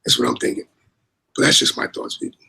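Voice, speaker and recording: A man with a raspy voice chatting casually as a livestream co-host, rambling and repeating his words. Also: quick pace, pushed and bright. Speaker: co-host and moderator.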